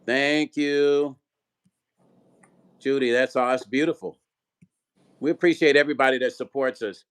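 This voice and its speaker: A man's voice: mid-range voice, steady tone, unhurried, clean tone. A middle-aged man hosting a casual livestream, reading viewer comments aloud and urging people to tap the screen, in an upbeat, repetitive way. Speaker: livestream host.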